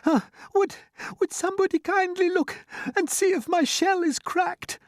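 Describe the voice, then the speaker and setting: A storyteller voicing a kindly, fretful lady ladybird in a very high voice. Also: steady tone, measured pace, no echo, clean studio sound.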